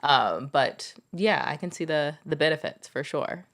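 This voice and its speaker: Deep-voiced woman podcast co-host. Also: measured pace, a bit bright. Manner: candid, eager storytelling. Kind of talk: casual conversation.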